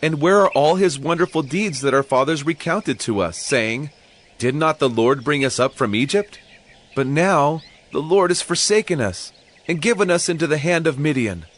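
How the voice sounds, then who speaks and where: Doubting young Israelite, higher-pitched, very animated, earnest, pleading, biblical audio drama. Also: male voice, moderate pace. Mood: troubled questioning. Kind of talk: dramatized scripture reading.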